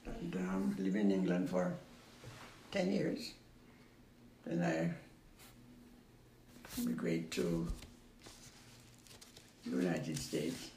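A woman in her eighties with a Jamaican accent, recalling her past in an unhurried way that repeats phrases and trails off. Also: extremely low pitch, wildly swooping pitch, vocal fry, mellow. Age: 80s. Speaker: interviewee. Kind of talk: casual recollection. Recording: roomy recording with faint background noise.

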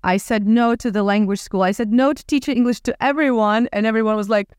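A woman from Ukraine in her thirties with a Ukrainian accent, talking casually as a podcast guest with lively restarts and fillers. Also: on the high side, quick pace, clear, resonant tone, no room sound, studio quality. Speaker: guest entrepreneur. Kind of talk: casual interview talk.

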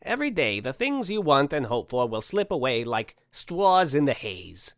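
A man's voice: medium pitch, very animated, normal speed, a bit bright, dry recording, clean studio sound. Man putting on a boisterous, swooping storybook Santa. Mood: badgering. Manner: folksy, boisterous, cajoling. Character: jolly eccentric Santa, brash, pushy, tube-obsessed wish granter.

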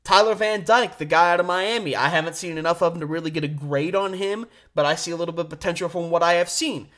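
A man hosting a sports radio show solo, giving opinionated, emphatic commentary with deliberate pauses and rhetorical questions. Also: fairly high pitch, a bit bright.

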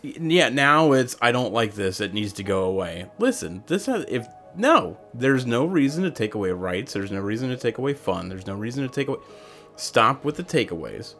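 A man's voice: medium pitch, very animated, normal speed, a bit bright, faint music behind. A man hosting a podcast, talking casually and ranting with strong opinions. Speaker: podcast host.

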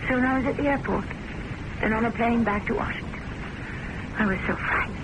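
A woman's voice: on the high side, fairly quick, natural voice. An actress playing a frantic, suspicious woman in an old-time radio drama, speaking with dramatic, insistent intensity. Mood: anxious, recounting. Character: frantic, suspicious woman recounting a spy ordeal. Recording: noisy old radio broadcast recording.

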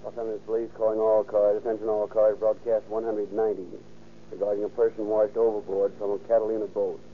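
A man's voice: lowish voice, fast talker. A man reading a clipped, official police bulletin over the radio as a dispatcher. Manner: flat, clipped, official monotone. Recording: tinny police radio, faint noise.

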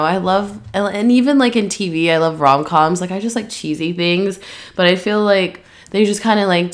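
A young woman, slightly nasal and stuffy from allergies, talking through her plans on a solo podcast, rambling with lots of "um" and "like". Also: fairly low pitch. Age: 20s. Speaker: podcast host. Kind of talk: casual solo podcast chat.

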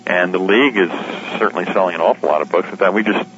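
A man's voice: sits low, quick pace, fairly bright tone. A man being interviewed on radio as a publisher, explaining things conversationally with informal asides. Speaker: publisher and editor of a shortwave radio guide, interviewee. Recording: dull, lo-fi radio interview line.

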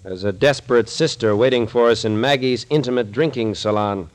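An actor playing a tough, shrewd detective captain in a 1940s radio thriller, dry and commanding. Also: male voice, mid-range voice, a little fast, lightly acted. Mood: brisk, commanding. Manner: matter-of-fact, dry, commanding.

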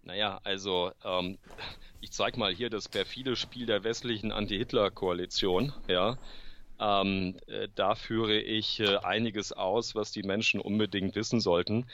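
Low gravelly man with a cold. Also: steady tone, fairly bright tone, highs cut off, slight background noise. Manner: halting, with many fillers and restarts. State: has a cold, clears throat often. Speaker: author guest. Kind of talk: interview answers. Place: room full of books at home.

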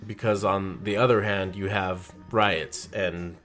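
A man co-hosting a casual podcast, explaining his point in an earnest, steady way. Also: low voice, slow pace, faint music behind. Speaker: podcast co-host.

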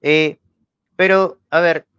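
A male podcast host with a somewhat high voice, chatting in a friendly, talkative way and sliding in personal asides between quick acknowledgments. His audio sounds dull and lo-fi. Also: steady tone, fairly bright tone, clean tone. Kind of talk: casual interview chat.

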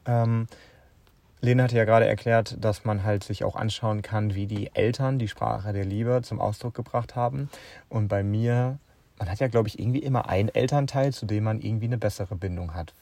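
Ein junger Mann, der als Co-Gastgeber locker und bedächtig erklärend mit seiner Partnerin plaudert. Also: male voice, on the low side, steady tone, normal speed, vocal fry, darkish tone. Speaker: podcast co-host. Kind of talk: casual couple chat.

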